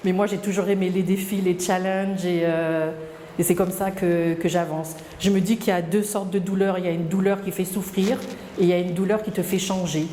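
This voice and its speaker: A middle-aged woman speaks in a somewhat low, mellow voice. She talks hesitantly and reflectively, with frequent fillers and restarts. The sound is roomy with a long reverb and faint background ambience. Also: fairly even intonation, a little fast, slight background noise. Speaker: interviewee. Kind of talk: personal testimony interview.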